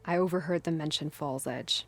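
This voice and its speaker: Tough beat-up warrior lady, weary n blunt, gravelly low voice, video game cutscene. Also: normal speed. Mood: weary, bitter. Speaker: game character actor. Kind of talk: dramatic game cutscene acting. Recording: music behind the voice.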